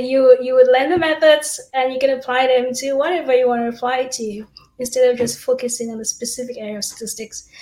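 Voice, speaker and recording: A woman from Cameroon in her thirties answers interview questions in a steady, explanatory way. Her voice sits fairly high and stays level in pitch. The recording has a noticeable room echo. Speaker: assistant professor, guest.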